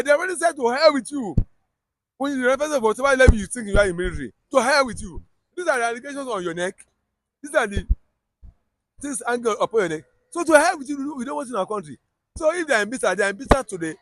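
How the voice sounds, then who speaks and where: A man's voice: very high-pitched, lively intonation, fast, fairly bright tone, poor audio. A man with a Yoruba Nigerian accent giving heated political commentary, emphatic and repetitive, often restarting his sentences.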